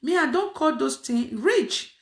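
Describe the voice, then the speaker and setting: A woman's voice: sits high, lively intonation, a little slow. A woman preaching casually to an online audience, emphatic and repeating her phrases, with frequent fillers. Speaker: online prayer host. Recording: echoey room.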